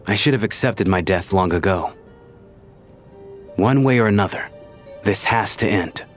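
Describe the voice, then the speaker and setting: An actor playing a gentle, weary dead man at peace, resigned and wistful, in a video game dub. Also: deep voice. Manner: wistful, resigned, plain-spoken. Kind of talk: English game dub voice acting. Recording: music under the voice.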